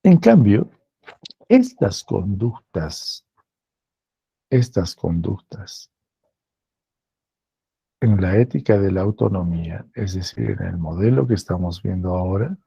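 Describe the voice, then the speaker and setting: A man with a Peruvian accent giving a lecture as a professor, slow and deliberate, repeating phrases to stress a point. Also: low voice, animated, creaky, mellow, breathy edge, dry recording. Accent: Peruvian Spanish. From Peru.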